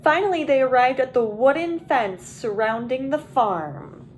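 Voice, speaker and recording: A woman teacher reading a picture book aloud to young children in a deliberate, expressive storytelling way. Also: high-pitched, a little hiss or hum.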